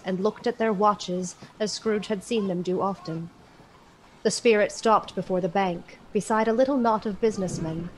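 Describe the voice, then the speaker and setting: A woman's voice: medium pitch, darkish tone, rough recording. Solemn woman narrator over background noise. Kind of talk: scripted dramatic storytelling narration.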